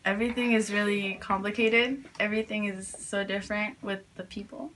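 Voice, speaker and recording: Teen girl from New York, interviewee, halting filler-heavy answers, faint noise behind. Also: medium pitch, steady tone, a bit bright, rough recording. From New York, USA. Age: teens. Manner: hesitant, many fillers and restarts.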